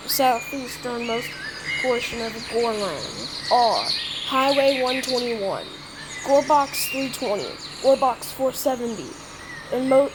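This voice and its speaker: A woman improvising a mock emergency broadcast announcement in a halting way, often stumbling and repeating words. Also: fairly high pitch. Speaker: announcer of a fictional emergency alert. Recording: noisy homemade recording, birdsong behind.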